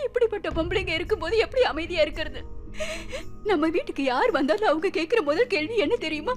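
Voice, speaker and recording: A young wife worn down by grief, her very high voice breaking into tears as she pleads. Clear music runs behind her. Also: expressive, moderate pace, a bit bright. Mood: tearful grief. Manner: tearful, pleading. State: crying.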